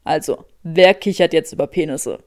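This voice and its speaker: Audiobook role: sarcastic young man, played by a woman, pitched low, smug teasing drawl. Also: moderate pace, darkish tone, no room sound, studio quality, lightly acted.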